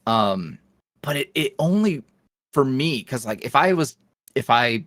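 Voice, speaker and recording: A man speaking as a podcast guest in a casual interview, animated and jokey, with slangy, self-deprecating talk. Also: mid-range voice, unhurried, no echo, studio quality. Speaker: podcast guest, audio roleplay creator.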